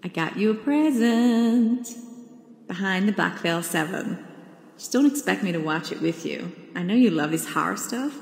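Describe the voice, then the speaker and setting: A woman's voice: medium pitch, animated, moderate pace, gravelly. An actress playing a loving young wife and mother in a game, speaking intimately and affectionately. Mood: playful. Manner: affectionate, tender. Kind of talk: intimate game dialogue, letters read aloud. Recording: echoey reverberant space, faint noise.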